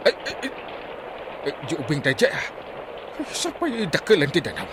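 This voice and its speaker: A flustered, bossy village uncle with an Ilocano accent, played by a man in a barangay comedy. His fairly high voice swings widely as he exclaims. Clear outdoor noise surrounds him. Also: huge pitch swings, moderate pace. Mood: anxious muttering. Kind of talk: Ilocano comedy drama acting.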